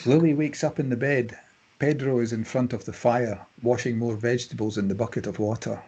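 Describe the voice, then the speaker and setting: A man's voice: mid-range voice, steady tone, fairly quick, warm tone, breathy edge, highs cut off. A man giving scripted narration, reading out the stage directions of a drama.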